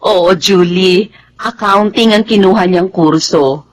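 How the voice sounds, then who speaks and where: A woman acting a devoted middle-aged wife and mother in a Filipino radio drama, with emotional, expressive delivery. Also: mid-range voice, slow pace, no room sound, lightly acted, poor audio. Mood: grateful, warm.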